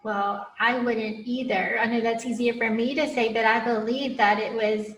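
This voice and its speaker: A woman host with a slightly high voice, gushing with encouragement. Her audio sounds roomy and distant from the mic. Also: fairly even intonation, moderate pace. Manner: effusive, encouraging. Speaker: podcast host. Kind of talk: supportive interview hosting.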